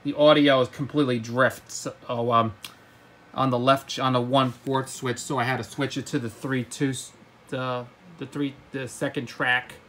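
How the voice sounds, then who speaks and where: A man giving a casual gadget demonstration as a hobbyist, halting, often repeating words and stumbling as he goes. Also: mid-range voice, level tone, fairly bright tone, a little hiss or hum. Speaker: hobbyist video presenter.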